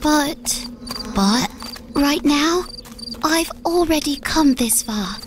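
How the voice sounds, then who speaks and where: A woman voicing a timid, earnest village girl in a comic dub, hesitant and soft-spoken. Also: high-pitched, lively intonation, slow pace, heavy background noise. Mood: pensive. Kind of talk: comic dub acting and fairy-tale storytelling.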